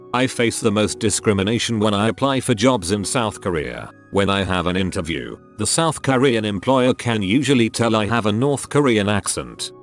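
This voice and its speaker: A man with a fairly low voice reads online posts in a steady, even scripted narration. He keeps the same measured delivery for every question and answer. Soft music plays faintly behind him. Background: faint background music.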